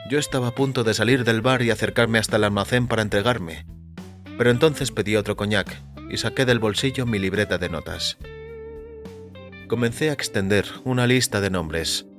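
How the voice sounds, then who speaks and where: A man narrating a scripted first-person story in a measured, literary way. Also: fairly low pitch, fairly bright tone, soft background music.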